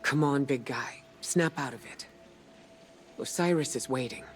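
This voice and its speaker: Composed, commanding military leader, noisy backdrop. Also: female voice, very deep voice, measured pace. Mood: concerned. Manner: composed, authoritative. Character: Ikora, steady, commanding female military leader. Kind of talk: video game voice acting. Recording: noisy game ambience behind the voice.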